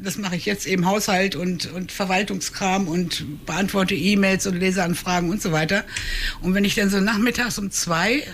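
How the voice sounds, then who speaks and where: A woman in her sixties from Hannover, speaking in a casual radio interview and pausing often with fillers and restarts. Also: mid-range voice, slight background noise. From Hannover, Germany. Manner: hesitant, many fillers and restarts. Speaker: crime novelist guest.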